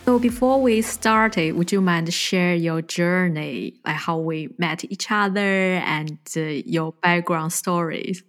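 Soft-spoken woman podcast host. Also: on the low side, slow pace, few pauses, faint music behind. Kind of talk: podcast interview.

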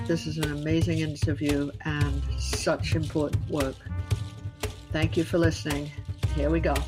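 A woman hosting a podcast interview, speaking in a gentle, earnest way. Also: deep voice. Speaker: podcast host.

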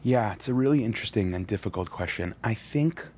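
A man's voice: on the low side, expressive, a little fast, a little mellow, slight background noise. A man who is a rabbi, speaking as a podcast guest in an earnest, reflective way, with the occasional wry aside. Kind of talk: thoughtful interview conversation.

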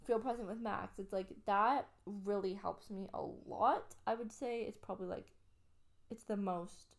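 A woman's voice: medium pitch, fairly even intonation, normal speed. A young adult woman from Canada, chatting casually and upbeat as a podcast co-host. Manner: chatty, upbeat, lots of fillers. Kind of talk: casual chat.

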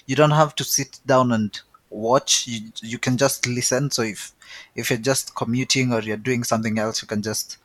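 Man, podcast co-host, easygoing chat, mid-pitched, dry close recording. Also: dry recording. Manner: relaxed, chatty, repeats words as he thinks. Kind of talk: casual chat.

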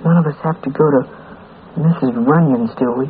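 A man's voice: medium pitch, a little fast, lightly acted. A male actor playing an earnest, dutiful young boy, plain-spoken and respectful, in a 1940s radio drama. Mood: dutiful, sad. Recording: muffled, hissy old radio recording.

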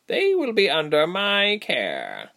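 A man voicing a blunt, protective female beast warrior in a storybook, speaking slowly and deliberately. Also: high voice, huge pitch swings, creaky, sharp tone, voice pushed up, heavily acted. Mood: firm, growling. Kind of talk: audiobook character voice.

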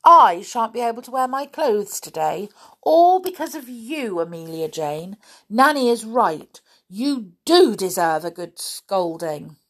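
A woman's voice: a little high, very animated, a little slow, fairly bright tone, pitched above the performer's own voice, heavily acted. A woman voicing an angry, reproachful baby doll in a children's story.

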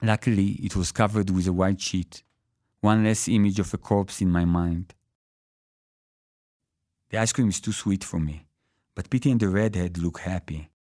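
A man reads his own short fiction in the first person. His voice is fairly low and very mellow, and the recording is dry, with no room around it. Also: moderate pace, pauses often, no echo. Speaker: author reading his own story. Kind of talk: literary first-person story reading.

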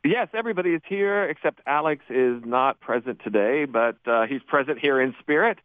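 Man, American accent, medium pitch, casual teacher-guest talk, narrow phone sound, no room echo. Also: normal speed, clean tone. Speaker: theatre teacher, interview guest. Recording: narrow, tinny phone line.

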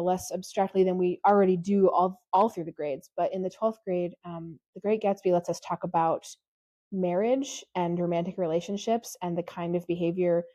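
A woman who teaches high school, talking casually about her classes in a mellow voice. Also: dry recording, muffled recording.

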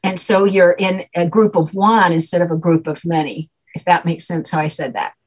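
A woman's voice: fairly low pitch, normal speed, breathy edge. A woman guest who explains at length and keeps checking that the listener follows along. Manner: patient, checks understanding with repeated "okay?". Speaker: guest teaching a name system. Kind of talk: explanatory conversation.

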